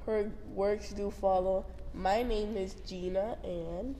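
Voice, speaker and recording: Very young female podcast co-host, informal and giggly, medium pitch, noticeable background noise. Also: fairly even intonation, takes it slowly, dark tone, long reverb tail. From Puerto Rico and northeastern US. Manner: giggly, uses "like" constantly. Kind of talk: casual group chat. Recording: very noisy room ambience.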